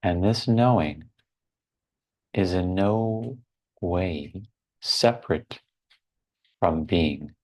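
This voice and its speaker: Male meditation guide, deep register, extremely unhurried, deliberate short phrases set apart by silence. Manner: measured, deliberate, with long pauses between short phrases.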